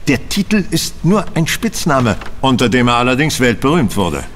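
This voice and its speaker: Man acting self-assured male sleuth, precise, lively melody, reverberant radio drama with background ambience. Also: medium pitch, moderate pace, a little hiss or hum, close to an everyday voice. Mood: amused, knowing. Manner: precise, lecturing.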